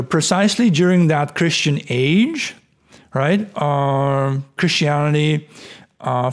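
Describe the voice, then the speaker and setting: A middle-aged man hosting a podcast, speaking from a home room into a studio mic, hoarse and clearing his throat, thinking aloud with fillers. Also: mid-range voice. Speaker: podcast host. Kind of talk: casual explanatory talk over screen share. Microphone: studio mic on boom arm.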